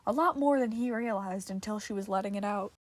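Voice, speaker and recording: A woman's voice: mid-range voice, fairly quick, dry recording. A woman reading fiction aloud as a podfic narrator, in a dramatic storytelling style.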